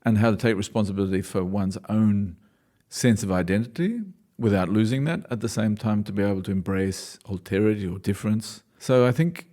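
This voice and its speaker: A man's voice: on the low side, fairly quick, dark tone. A man from Australia, a dancer and choreographer, answering interview questions in a thoughtful, hesitant way with frequent restarts. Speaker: dancer and choreographer, class leader.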